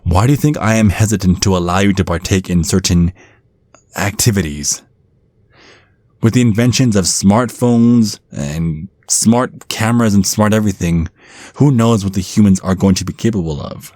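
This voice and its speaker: A man performs a centuries-old vampire bodyguard in an intimate ASMR roleplay. His male voice sits low, with a gruff, brooding earnestness that is fiercely protective. The sound is dry, with no room around it. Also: moderate pace, a little mellow, no room sound, lightly acted. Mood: worried, protective.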